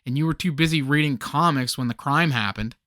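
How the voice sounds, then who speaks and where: An actor playing a young, theatrical, self-centred comic-book fan with a whiny, jokey way of talking in a comedy radio play. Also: male voice, fairly high pitch, fairly quick, a bit bright, dry recording, clean studio sound, close to an everyday voice. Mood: scheming, eager.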